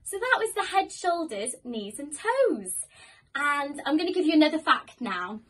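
Welsh woman, 20s, teacher, lively and clear for children, small room, phone camera at a distance. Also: high-pitched. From Wales. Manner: animated, slow and clear for children. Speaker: primary school teacher. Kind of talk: lively lesson for young children. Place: small office room with window blinds. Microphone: phone camera a few metres away.

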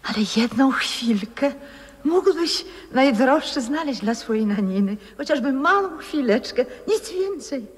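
Radio play role: frail, adoring old diva, high husky voice, theatrical and hushed. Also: female voice, animated, unhurried, exaggerated voice. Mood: tender longing. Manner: poetic, theatrical, hushed and breathy. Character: frail old former opera singer, adoring, lovelorn, wistful. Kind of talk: 1960s radio drama acting. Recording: old radio recording with long reverb.

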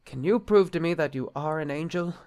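A man's voice: on the high side, brisk, a little mellow, clear, resonant tone, lightly acted. A man playing a stiff, anxious bishop in an audio drama, formal and hesitant in his speech. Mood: exasperated. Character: stiff, exasperated, anxious male bishop and husband. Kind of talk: film-adaptation audio drama acting.

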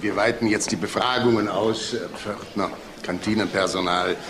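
A man's voice: lowish voice, steady tone, normal speed, close to an everyday voice. An actor playing an eager, chatty male police investigator in a naturalistic crime audio drama. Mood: chatty, probing. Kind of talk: naturalistic German radio drama acting. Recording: clear background noise and ambience.

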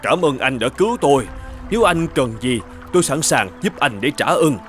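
A man's voice: mid-range voice, animated, moderate pace, a bit bright, soft background music, close to an everyday voice. A man voicing a helpful young eagle in a children's cartoon. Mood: grateful. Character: grateful helpful young male talking eagle. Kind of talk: children's cartoon fairy-tale dubbing.

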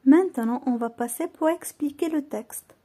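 A woman teaching, reading a short text aloud and translating it phrase by phrase, slow and careful. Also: high-pitched, warm tone. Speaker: French teacher.

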